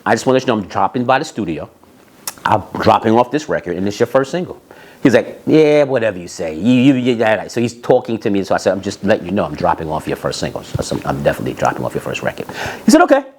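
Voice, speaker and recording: A man telling anecdotes in an animated, emphatic way, repeating phrases and acting out bits of dialogue. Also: mid-range voice, fast talker. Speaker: storyteller, music industry executive.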